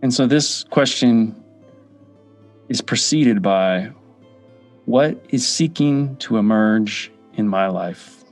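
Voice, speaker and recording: A man leads a guided meditation at a very slow pace, leaving room between short, measured phrases. His medium-pitched voice often settles into a gravelly fry. Soft music plays behind him. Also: expressive. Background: background music.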